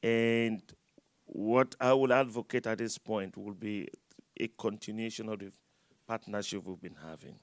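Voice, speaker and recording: A man speaking on a conference panel, loud, halting and full of fillers and restarts, speaking into a microphone. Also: lowish voice, flat delivery, dry recording.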